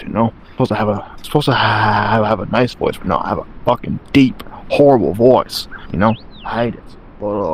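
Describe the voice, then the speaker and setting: A man doing a gruff chain-smoking villain on his day off. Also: a little hiss or hum. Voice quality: deep, rough smoker's voice. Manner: laid-back, rambling, swears casually. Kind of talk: playing a figure in a game roleplay.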